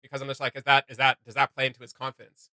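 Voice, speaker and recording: A man's voice: medium pitch, completely flat delivery, racing, pushed and bright, no room sound. A man hosting a reaction video, chatting casually and thinking aloud in a hesitant way.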